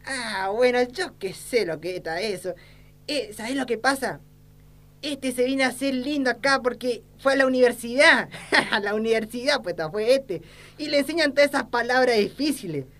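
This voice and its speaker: A woman's voice: fairly high pitch, normal speed, a bit bright. Woman playing blustering old Argentine male politician. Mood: confused, dismissive. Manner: folksy, colloquial, blustering. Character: crooked, lecherous, ignorant old male small-town politician.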